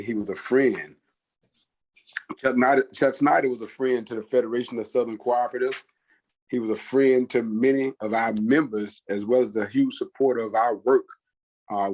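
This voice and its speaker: A man calling in from outside a doctor's office, speaking an earnest tribute with halting restarts. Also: mid-range voice, darkish tone, rough recording. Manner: earnest, halting with repeated words. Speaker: guest, executive director of a cooperative federation. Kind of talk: spoken tribute over a call. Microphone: phone or call audio.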